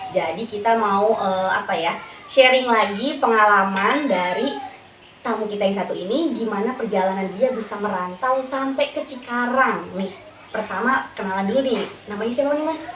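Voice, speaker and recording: A woman's voice: mid-range voice, moderate pace, a bit bright. A young woman hosting a casual interview podcast, chatty and often repeating her guest's answers back to him. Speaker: podcast host. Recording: echoey room, distant mic, faint noise.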